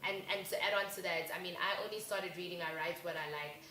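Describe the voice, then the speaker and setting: A young South African woman co-hosting a casual talk show, lively and chatty. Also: lowish voice, fast talker. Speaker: co-host. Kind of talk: casual chat. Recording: echoey room with faint noise.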